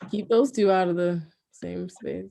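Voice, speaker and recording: A woman's voice: fairly low pitch, fairly even intonation, measured pace, vocal fry, no room sound. A woman in her twenties chatting casually with friends on a livestream, laughing and swearing freely. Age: 20s. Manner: loud, laughing, swearing banter. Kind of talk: casual chat among friends.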